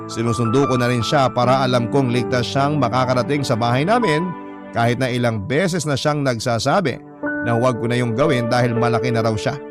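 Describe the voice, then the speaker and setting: A man's voice: mid-range voice, a little fast. A man with a Filipino accent narrating a dramatic first-person story from a script. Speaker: narrator reading a letter sender's story. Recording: prominent music under the voice. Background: loud instrumental music.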